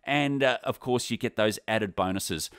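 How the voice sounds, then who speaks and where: A man with an Australian accent presenting an enthusiastic microphone review at his home studio desk, talking into a condenser on a boom arm. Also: normal speed, fairly bright tone, smooth. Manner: enthusiastic, upbeat presenter delivery. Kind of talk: product review demo. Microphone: USB condenser on boom arm with pop screen.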